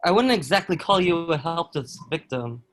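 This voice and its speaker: Guy acting scornful soldier guard in a history play, fairly high voice, dry delivery. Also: expressive, moderate pace, a bit bright. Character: scornful male Burgundian soldier guarding a prisoner. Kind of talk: dramatic table read of a historical play.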